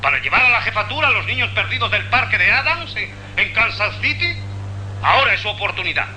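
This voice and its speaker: An actor playing a sarcastic, impatient police commissioner in a radio play, barking orders through a telephone-like filter. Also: male voice, high-pitched, big pitch swings, fast, sharp tone, heavily acted. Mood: sarcastic, scolding. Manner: scolding, rhetorical, commanding. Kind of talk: dramatic radio play acting. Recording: noisy, humming phone-line radio effect.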